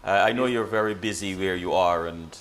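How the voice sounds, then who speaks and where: A man's voice: sits low, fairly quick, a bit bright, slight background noise. A man hosting an interview show, friendly and stumbling a little over his words. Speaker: show host. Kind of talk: interviewing a guest.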